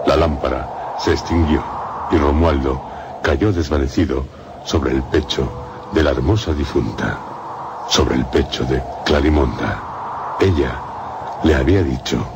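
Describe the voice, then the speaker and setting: An actor playing the solemn narrator of a gothic radio tale, with measured, deliberate phrasing and a Castilian Spanish accent. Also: male voice, rumbling low, background noise. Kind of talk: scripted radio drama narration. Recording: loud music under the voice.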